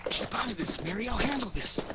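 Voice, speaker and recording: An actor playing a cocky, wisecracking amateur detective in a 1940s radio drama, with glib, slangy delivery. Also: male voice, extremely high pitch, animated, a little fast. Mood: tough, bold. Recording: noisy old 1940s radio recording.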